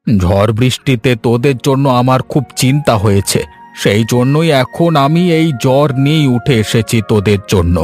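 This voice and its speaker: A man's voice: mid-range voice, lively intonation, moderate pace, a little mellow, faint music behind, lightly acted. A man playing a caring, worried village father, earnest and fatherly, in a children's fairy-tale cartoon.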